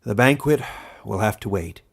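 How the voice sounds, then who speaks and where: Man playing a forceful, commanding old village elder. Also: fairly low pitch, expressive, normal speed, gravelly, breathy edge, heavily acted. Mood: grim. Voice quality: broken edge in the voice. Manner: commanding, curt. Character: stern, impatient old male village elder, contemptuous ruler.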